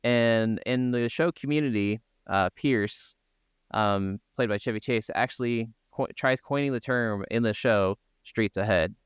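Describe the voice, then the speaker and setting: A man's voice: medium pitch, unhurried, smooth, no echo, clean studio sound. A man co-hosting a podcast, chatting casually about games with some offhand swearing. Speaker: podcast co-host. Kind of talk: casual gaming podcast chat.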